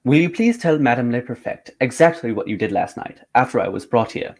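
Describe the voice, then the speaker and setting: A man playing a confident, methodical gentleman detective in an old-time radio drama, with a formal, theatrical delivery. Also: medium pitch, animated, a little fast, gravelly. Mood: assured.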